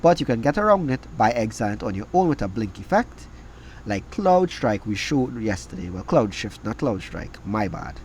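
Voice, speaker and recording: A man with a cold and a raspy voice, reading out trading cards and giving quick verdicts on each. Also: on the low side, big pitch swings, darkish tone, slight background noise. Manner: reads card text then reacts with quick verdicts. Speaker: card game video host.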